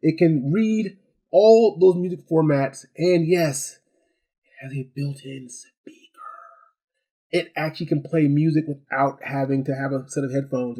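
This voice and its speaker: A man's voice: mid-range voice, mellow, no echo. A man giving a casual, conversational hands-on product review, often repeating phrases for emphasis.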